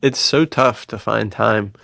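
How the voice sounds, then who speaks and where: Guy podcaster, lowish gravelly voice, super into it, bro slang, dry close sound. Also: measured pace, fairly bright tone, dry recording. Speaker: podcast co-host. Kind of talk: casual chat.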